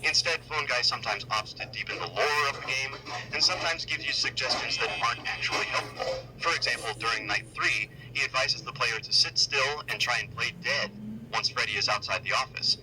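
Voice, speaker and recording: A man's voice: medium pitch, brisk, rough recording. A man reading a scripted, analytical video essay narration.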